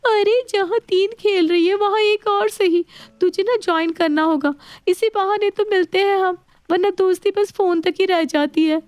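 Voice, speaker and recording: Shrill pushy excited girlfriend voiced by a woman. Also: normal speed, no echo. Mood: insistent, excited. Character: pushy excited young female friend. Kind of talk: story dialogue.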